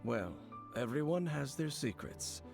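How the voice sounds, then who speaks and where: An actor playing a solemn, secretive wanderer in a video game, speaking in a measured, formal and cryptic manner. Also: male voice, mid-range voice, very animated, creaky. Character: solemn, secretive, brooding wanderer guide, male, ancient knowledge. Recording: faint music behind the voice. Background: game music.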